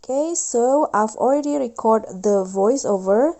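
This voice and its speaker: A woman's voice: fairly high pitch, lively intonation, a little slow, warm tone. A woman hosting a casual step-by-step app tutorial in a voice-over. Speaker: tutorial host.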